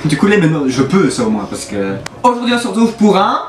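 A man's voice: high voice, very animated, quick pace. A man, chatting casually to camera in a playfully exasperated, teasing manner. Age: early twenties. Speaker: YouTuber hosting a prank video. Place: small bedroom, indoors. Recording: roomy echo, music behind.

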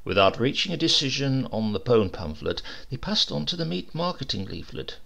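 A man reading a comic short story aloud as narrator, expressive and lively in his delivery. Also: medium pitch, moderate pace, creaky. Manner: lively, expressive reading with varied character voices. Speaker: audiobook reader.

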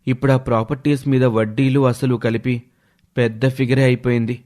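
An earnest young husband weighed down by worry played by a male storyteller. He speaks at a middle pitch, in the dialogue style of a cartoon moral story. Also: flat delivery, moderate pace, darkish tone, lightly acted. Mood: worried, earnest. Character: debt-burdened young husband, earnest and worried.

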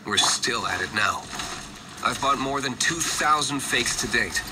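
Man as a composed, expressive game nobleman. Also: medium pitch, noisy background, close to an everyday voice. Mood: matter-of-fact. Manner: measured, matter-of-fact storytelling. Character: composed, wealthy young male winery owner, knowing and dry. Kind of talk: video game character dialogue.

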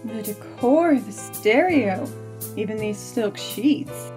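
A woman's voice: a little high, lively intonation, slow, music in the background. A woman playing a sultry, insistent mistress in an audio drama. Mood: seductive. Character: sultry mistress pressing her secret lover.

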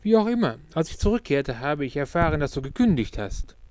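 Man acting a breezy, high-pitched salesman pal. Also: big pitch swings, fairly quick, darkish tone, no room sound. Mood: chatty, insistent. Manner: breezy, emphatic salesman's delivery. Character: brisk, jovial, red-haired salesman, an old friend. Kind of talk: audiobook character dialogue.

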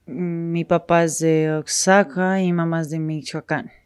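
Frank, halting woman in a dry recording. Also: sits low, steady tone, a little mellow, clear, resonant tone, no room sound. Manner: halting, frank, with pauses and restarts. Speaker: interviewee. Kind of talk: candid street interview.

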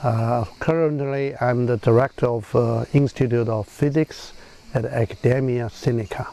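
A middle-aged man from Taiwan, an institute director, speaking slowly and deliberately outdoors. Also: medium pitch, fairly even intonation, gravelly, warm tone. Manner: slow, deliberate. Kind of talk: interview. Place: outdoors among trees.

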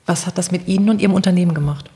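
A woman's voice: lowish voice, racing, mellow. A woman hosting a podcast, putting questions to her guests in a conversational interviewing style.

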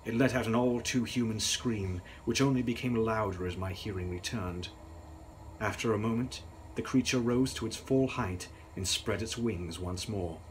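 A man's voice: on the low side, normal speed, a little hiss or hum, rough recording. A young man reading a gothic novel aloud in a grave, theatrical, dramatic style. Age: young adult. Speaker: author reading his novel.

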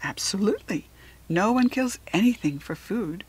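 Woman reading as husky, formal alien hare. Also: mid-range voice, expressive, moderate pace, darkish tone, poor audio. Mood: lofty, matter-of-fact. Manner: articulate, formal, self-assured. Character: talking alien hare, polite, chatty, slightly superior visitor. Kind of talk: children's storybook character reading.

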